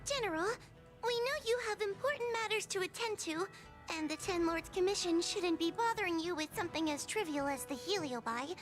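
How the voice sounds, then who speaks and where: A woman's voice: very high voice, lively intonation, a little fast, soft background music. A woman playing a timid, earnest young female judge in a video game, speaking politely, formally and hesitantly. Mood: worried, pleading. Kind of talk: scripted video game voice acting.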